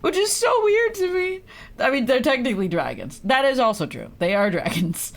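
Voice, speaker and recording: Animated woman livestreaming a game. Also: high-pitched, normal speed. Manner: playful, rambling commentary. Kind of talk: casual gaming livestream chat.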